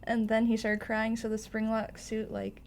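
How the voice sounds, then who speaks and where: A woman co-hosting a casual podcast, blunt and playful, explaining game lore with quick laughs. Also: on the high side, monotone, a little mellow, a little hiss or hum. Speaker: podcast co-host.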